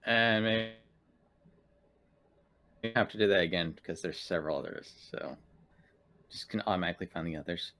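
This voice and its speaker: A male player chats casually during a tabletop roleplaying session. His voice sits a little on the low side, and he talks in an informal, conversational way. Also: normal speed, pauses often, fairly bright tone.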